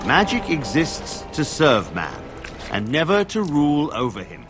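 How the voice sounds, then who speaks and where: Stern, dramatic knight commander. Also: male voice, a little high, huge pitch swings, unhurried, over loud music. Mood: solemn warning. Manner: solemn, declamatory, commanding. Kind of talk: fantasy video game voice acting.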